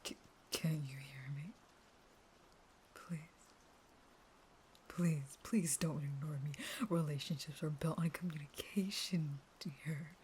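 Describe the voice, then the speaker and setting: A woman playing a starving, unstable caged vampire who pleads with a lover in a breathy, intimate, dramatic whisper. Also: sits low, steady tone, takes it slowly, long pauses, darkish tone, slight background noise. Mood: desperate pleading. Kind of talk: intimate whispered roleplay audio monologue.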